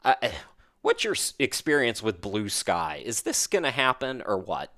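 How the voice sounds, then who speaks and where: A man's voice: mid-range voice, very animated, normal speed, pushed and bright. A man hosting a podcast in a casual, chatty interview style, with a self-deprecating, rambling manner. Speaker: podcast host.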